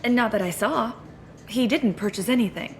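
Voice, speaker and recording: A woman's voice: fairly high pitch, moderate pace, soft background music, lightly acted. A woman playing a polite, chipper shop greeter in a video game with courteous customer-service delivery.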